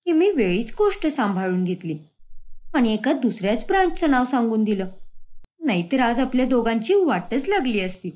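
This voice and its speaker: A woman voicing an eager, sweet young mistress in a cartoon story, speaking brightly and expressively. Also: on the high side, very animated, normal speed. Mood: relieved.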